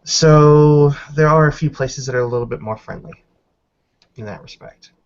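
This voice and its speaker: A man's voice: on the high side, normal speed, clear, resonant tone, close to an everyday voice. A man playing a sheepish, friendly female werewolf in a roleplaying game, speaking hesitantly. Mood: tentative, kindly. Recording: noisy lo-fi mic with clicks.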